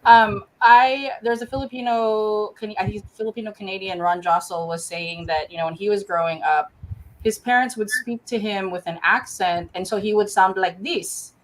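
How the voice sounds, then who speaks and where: A woman educator speaking on a panel over a video call, telling stories in an earnest, animated way. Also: medium pitch, normal speed, poor audio.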